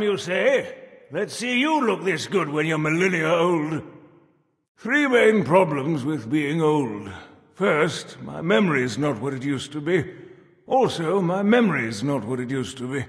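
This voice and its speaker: A man's voice: sits high, exaggerated voice. An actor voicing a jovial, cranky ancient Father Time in a video game, with a theatrical, hammy, jokey old-timer delivery. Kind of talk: video game character voice lines. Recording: long reverb on the voice.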